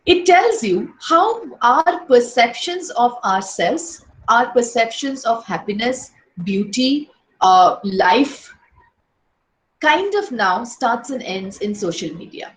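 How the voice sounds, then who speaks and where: A woman educator with a loud voice, giving an earnest lecture to fellow teachers and posing rhetorical questions. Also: fairly high pitch, big pitch swings, slow. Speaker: educator giving a workshop talk. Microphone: online session. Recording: echoey room.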